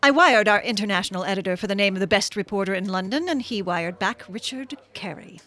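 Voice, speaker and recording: A woman's voice: mid-range voice, animated, fast, pushed and bright. An actress playing a wealthy, worldly American businesswoman in a radio drama, assured and dryly gracious.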